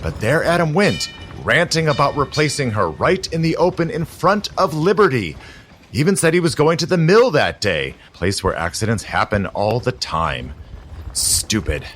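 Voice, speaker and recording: A man's voice: medium pitch, big pitch swings, moderate pace, fairly bright tone, a little hiss or hum. A man acting in an audio drama as a cynical, superior society conspirator with a biting, theatrical delivery. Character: scheming, cynical male society conspirator, world-weary and superior.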